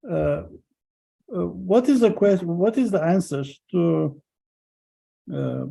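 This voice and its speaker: A man interviewing an academic, asking questions haltingly with many fillers. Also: high-pitched, animated, creaky, mellow, husky.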